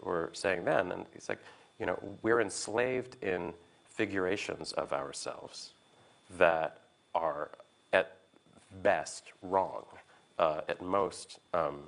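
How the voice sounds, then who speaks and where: Male, extremely low voice. Reflective interviewee, halting delivery, carefully searching for words. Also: creaky, fairly bright tone. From United States. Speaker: art historian being interviewed. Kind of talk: reflective conversation.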